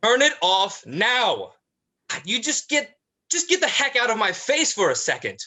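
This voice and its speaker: A man playing a stressed, parental eldest brother, exasperated and halting, in a play reading. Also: high-pitched, wildly swooping pitch, bright, forceful tone, full character voice. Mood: frustrated. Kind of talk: dramatized play script reading.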